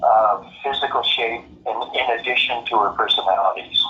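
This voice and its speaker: A man being interviewed as a writer, talking casually and reflectively in a halting, musing way. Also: mid-range voice, fairly even intonation, sharp tone. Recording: muffled phone-like call, faint noise.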